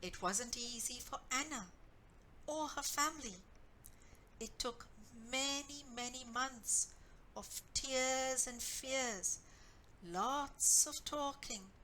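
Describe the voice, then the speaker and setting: A woman author reading her own story expressively, with deliberate, dramatic phrasing. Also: fairly high pitch, sharp tone, slight background noise, poor audio.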